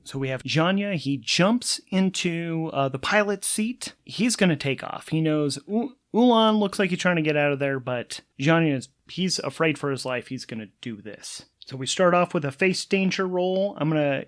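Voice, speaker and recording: A man hosting a solo tabletop role-playing show, thinking aloud in an animated, conversational way as he narrates play. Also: fairly high pitch.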